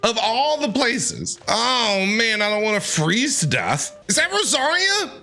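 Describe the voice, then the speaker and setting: A woman's voice: fairly high pitch, very animated, unhurried, sharp tone. A woman giving casual, playful, exclamatory gameplay commentary as a streamer. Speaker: game streamer. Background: game music.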